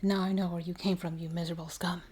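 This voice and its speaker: A woman's voice: on the low side, level tone, quick pace, a little mellow, breathy edge. A woman voicing a fierce, defiant mother in a horror storybook, speaking with emphatic command.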